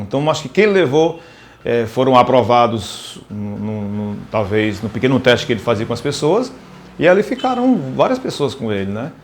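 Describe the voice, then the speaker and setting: A man recalling things spontaneously in halting, repetitive phrases. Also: mid-range voice. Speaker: interviewee. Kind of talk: spontaneous personal recollection.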